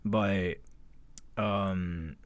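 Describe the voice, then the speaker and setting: A man's voice: sits low, fairly even intonation, drawn-out words, clear, resonant tone, no room sound. A man telling a story casually on a livestream, swearing and acting out both sides of conversations. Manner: frequent "like" and repeated phrases.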